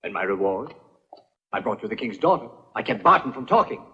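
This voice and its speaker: A man's voice: fairly low pitch, big pitch swings, a little fast. An actor playing a greedy, cowardly henchman in a dubbed historical adventure film, whining and wheedling servilely. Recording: dull dubbed film soundtrack under loud music.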